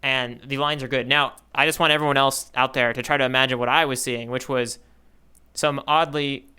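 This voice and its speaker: A man co-hosting a casual manga discussion podcast in a playful, animated way. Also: mid-range voice, a little fast, clear, resonant tone. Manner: playful, animated, with spoken sound effects. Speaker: podcast co-host.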